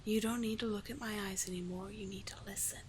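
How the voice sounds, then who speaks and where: A seductive sea witch, played by a woman, whispers in a soft, breathy hush over clear ambient noise. She is hypnotic and coaxing, repeating herself with possessive tenderness, as in an ASMR sleep roleplay. Her mid-range voice stays manipulative beneath the gentleness. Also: mid-range voice, steady tone, fast, natural voice. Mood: hypnotic, soothing.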